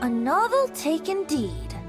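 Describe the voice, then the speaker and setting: A woman's voice: high voice, huge pitch swings, takes it slowly, over music, full character voice. A woman voicing a playful young male bard in a video game, with a flowery, theatrical, teasing manner. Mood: merry, grandiose.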